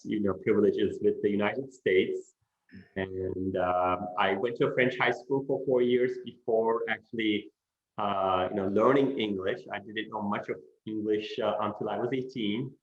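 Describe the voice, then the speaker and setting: A middle-aged man from Turkey, a professor answering interview questions in an unhurried way, with many "you know" fillers. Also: lowish voice. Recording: echoey room, dull lo-fi sound.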